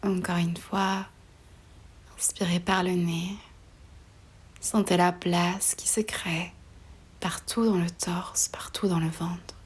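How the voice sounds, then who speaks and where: A woman guiding a relaxation meditation in a soft, breathy voice often near a whisper, slow and soothing, with pauses between short phrases. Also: lowish voice, level tone. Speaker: yoga nidra meditation guide.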